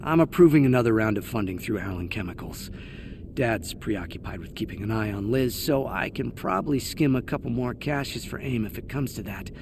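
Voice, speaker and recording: An actor playing a weary, cynical young corporate villain recording a sardonic audio log in a video game. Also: male voice, mid-range voice, lively intonation, brisk, noisy background, lightly acted. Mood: scheming, musing. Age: young adult. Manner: grumbling, sardonic.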